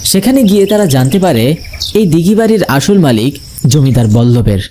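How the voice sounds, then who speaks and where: A man's voice: on the high side, sing-song, normal speed, slight background noise. A man narrating a scripted horror story in a dramatic storytelling style. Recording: loud music behind the voice.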